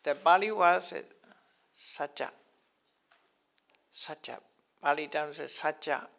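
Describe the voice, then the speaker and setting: An older man who is not a native English speaker teaches scriptural terms to a class in a halting way, repeating words and chuckling often. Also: a little high, creaky, a bit bright. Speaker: Buddhist teacher.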